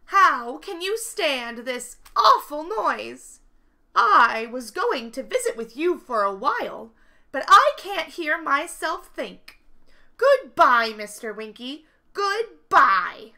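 A woman doing a loud, grumpy Mister Glum. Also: high-pitched, very animated, slow pace, voice pushed up, heavily acted. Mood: exasperated. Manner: loud and exasperated. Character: grumpy fussy man bothered by noise.

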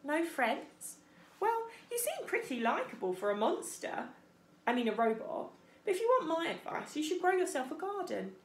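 A woman voicing a stubborn, gruff adult male beaver in a children's storybook, blustering and grudging. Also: sits high, sing-song, normal speed, roomy sound, voice pushed up. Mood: friendly, advising.

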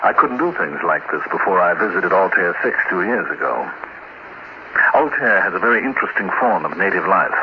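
A low-voiced, smugly menacing alien in human disguise, from a 1950s radio drama. His delivery is deliberate and expressive. The recording is muffled and clearly noisy. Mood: calm menace. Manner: measured, deliberate, sinister storytelling. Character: alien mimic posing as a spaceman, smug, calmly menacing. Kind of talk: 1950s radio play acting.

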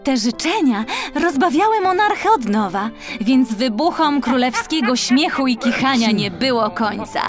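A woman narrating a scripted fairy tale for children, an audiobook storyteller with expressive, carefully phrased delivery. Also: sits high, moderate pace, over music.

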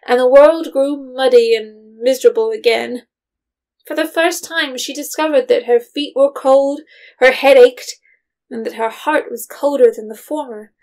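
Woman reading storybook narration for an audio drama, pretty high voice. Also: a little mellow.

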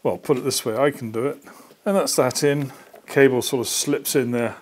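A British man in his sixties presents a practical workshop tutorial. His voice sits at an average pitch, and he explains each step patiently. There is noticeable background noise with small clicks in the recording. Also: fairly even intonation, normal speed. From Britain. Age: 60s. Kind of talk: hands-on assembly tutorial. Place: indoor workshop.

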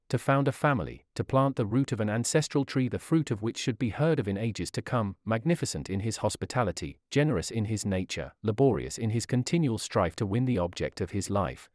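A man's voice: lowish voice, expressive, fairly quick, few pauses, darkish tone, no echo, studio quality. A man reading an old-fashioned sermon aloud in a solemn preaching cadence. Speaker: reader of a historical sermon.

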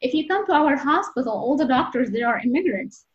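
Woman, Egyptian accent, 30s, slightly high voice, earnest, halting, dull lo-fi sound. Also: animated. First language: Arabic. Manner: earnest, halting with many restarts and fillers. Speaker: medical resident, guest. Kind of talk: interview answers. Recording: dull lo-fi audio.

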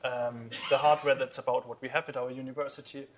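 A man's voice: medium pitch, flat delivery, fairly quick, slight background noise. Man giving a conference talk, hesitant. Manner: many fillers and restarts, earnest explaining. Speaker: conference speaker. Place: lecture hall.

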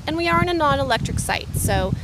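A woman's voice: sits high, expressive, quick pace. A woman vlogging a casual campground tour outdoors, chatty and enthusiastic with many fillers, speaking into a handheld camera. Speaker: van life vlogger. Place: outdoors at a windy lakeside campground. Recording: loud wind noise outdoors.

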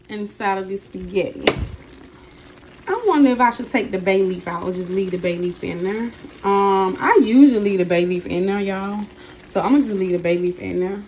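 A woman with a hoarse, partly lost voice, chatting casually to her viewers as she cooks in her home kitchen, recording on a handheld phone. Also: mid-range voice, background noise. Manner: chatty, keeps addressing viewers directly. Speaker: cooking channel host. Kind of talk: casual cooking vlog narration. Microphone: phone held in hand while cooking.

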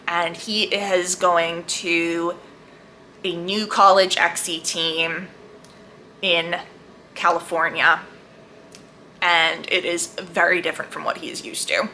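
A woman with a bright, forward voice talks casually as a book vlogger. Her delivery is animated and emphatic, with a gushing, chatty feel. There is some faint noise behind her. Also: lowish voice, slow pace, long pauses.

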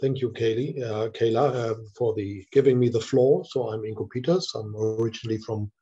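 An older man speaking as a visiting scholar on a video call, giving an informal academic talk in a halting way with many filler sounds, from a small office. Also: medium pitch, dark tone, slightly rough. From Germany. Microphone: laptop webcam, video call. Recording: dull, muffled video-call audio.

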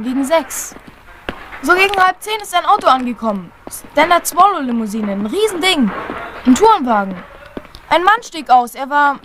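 A cheeky lad in a retro radio mystery. The voice is high and lively, eager to report what it has seen, with faint noise behind. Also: female voice. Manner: eager, cheeky, matter-of-fact. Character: cheeky, observant young working-class boy witness. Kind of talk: German retro crime radio drama.

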